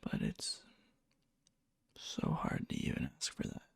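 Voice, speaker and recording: A man playing a needy yandere young man confessing love to a friend in a hushed, halting ASMR roleplay, often slipping into a whisper, breathy and gravelly. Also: no echo, natural voice. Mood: jealous, yearning. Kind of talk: ASMR boyfriend roleplay, soft intimate storytelling. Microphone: close mic, dry.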